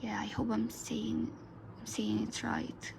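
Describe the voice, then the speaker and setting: Soft-spoken woman, Arabic native, whispery, slow. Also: on the high side, completely flat delivery, creaky, background noise. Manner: soft, often whispered narration. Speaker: art tutor. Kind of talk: drawing tutorial voice-over.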